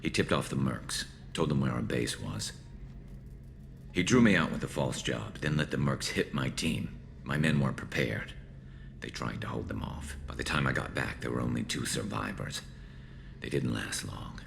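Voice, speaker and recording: Very deep, gravelly alien vigilante. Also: male voice, animated, fairly bright tone, slight background noise, heavily acted. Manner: measured, dry, brooding. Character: grim alien mercenary vigilante, male, haunted and vengeful. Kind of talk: video game dialogue acting.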